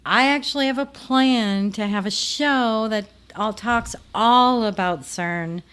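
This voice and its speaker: A woman's voice: on the high side, slow pace, fairly bright tone, clean tone. A woman with a Minnesotan accent hosting a show, talking casually to her viewers in a rambling way that trails off. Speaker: show host.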